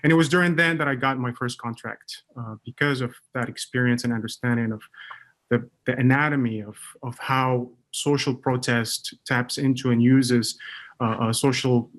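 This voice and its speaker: A man's voice: medium pitch, steady tone, normal speed, a bit bright. Hesitant Moroccan-raised man, guest. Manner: hesitant, many restarts and fillers. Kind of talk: casual panel chat.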